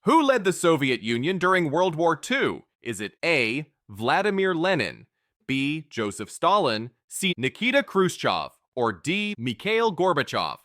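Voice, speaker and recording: A man narrating a history quiz from a script, with an energetic, emphatic delivery. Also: on the high side, measured pace, dry recording, clean studio sound.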